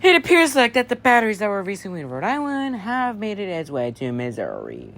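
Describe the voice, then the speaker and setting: A man's voice: high-pitched, huge pitch swings, fairly quick, gravelly, a bit bright, pitched above the performer's own voice, full character voice. A man playing a flustered, easily panicked emergency newsreader in an amateur alert parody, whose formal announcements lapse into rambling. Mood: formal, halting. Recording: noisy amateur home recording.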